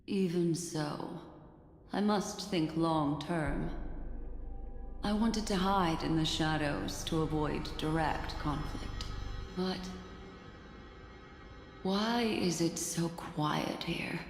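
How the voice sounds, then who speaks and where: An actress voicing a composed, enigmatic woman in a video game, musing in a measured, cryptic way. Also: low voice. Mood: pensive. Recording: echoey reverberant space, music behind.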